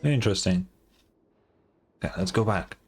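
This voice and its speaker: A woman's voice: rumbling low, lively intonation, measured pace, husky. A woman streamer giving short, casual reactions while she follows a game. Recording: game music behind the voice.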